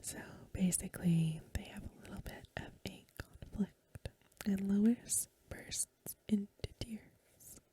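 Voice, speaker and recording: A woman ASMR host reading a story in a gentle, hushed, breathy whisper, close to the microphone. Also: mid-range voice, takes it slowly.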